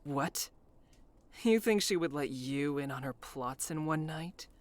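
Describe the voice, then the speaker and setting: A man's voice: a little high, lively intonation, measured pace. A man voicing a suspicious, coldly threatening interrogator in a fantasy visual novel. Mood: skeptical.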